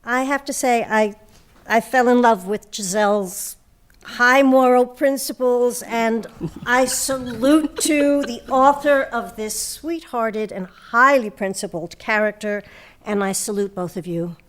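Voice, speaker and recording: A woman's voice: a little high, a little slow, slight background noise. A woman hosting a radio program, speaking as a presenter. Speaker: radio show host. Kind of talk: interview questions and scripted credits narration.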